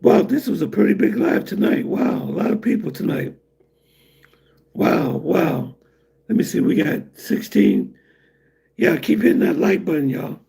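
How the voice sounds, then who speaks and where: Gravelly, husky man chatting on a livestream. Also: sits high, expressive, unhurried, dark tone, poor audio. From United States. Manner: loose, rambling, laughs at his own jokes. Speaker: livestream host. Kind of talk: casual livestream chat.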